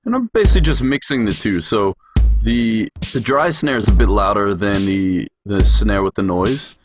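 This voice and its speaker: A man narrating a software tutorial as an instructor, explaining things step by step. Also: fairly low pitch, expressive, normal speed. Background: music production sounds, drum hits.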